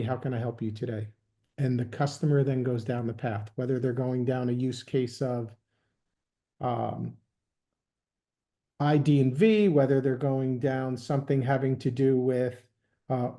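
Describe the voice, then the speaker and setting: A man with a dark, mellow voice talks through business topics at an even, measured pace. He fills his explanations with ums and uhs as he goes. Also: mid-range voice. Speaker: guest, sales executive. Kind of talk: business interview.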